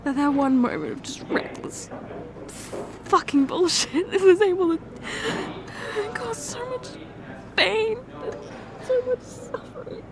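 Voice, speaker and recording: Woman tearful very high voice, slow and faltering, repeats herself; crowd murmur under it. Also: sing-song. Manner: halting, repeats words, breaks off. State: tearful, crying. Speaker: ASMR roleplay creator. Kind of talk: emotional ASMR roleplay confession.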